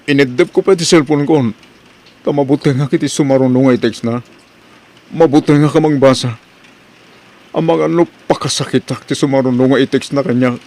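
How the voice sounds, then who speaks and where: A man's voice: medium pitch, steady tone, brisk, long pauses, a little mellow, natural voice. A man playing a worried, bewildered husband in an Ilocano radio drama, speaking hesitantly as if thinking aloud. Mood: anxious, upset. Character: worried, bewildered husband confiding in his mother.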